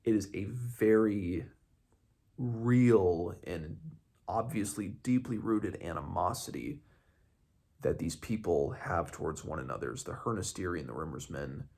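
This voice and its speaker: Male read-along host, very deep register with heavy vocal fry, casual and halting delivery. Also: darkish tone. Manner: halting, searching for words, self-correcting. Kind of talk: casual book discussion with some read-aloud passages.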